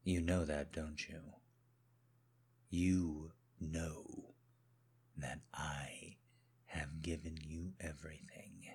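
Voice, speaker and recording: A man voicing a controlling husband who confronts his wife in an audio roleplay, speaking slowly and dropping into hushed whispers. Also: sits low, pitched below the performer's own voice. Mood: quietly menacing.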